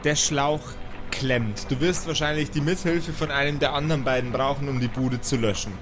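A man's voice: medium pitch, fairly even intonation, moderate pace. A man narrating an improvised tabletop role-playing game as the game master. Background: background music.

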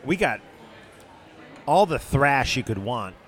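A man's voice: medium pitch, very animated, slow pace, fairly bright tone. A man from the Buffalo area co-hosting a casual podcast chat in a hotel bar, upbeat as he introduces the guests. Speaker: podcast co-host.

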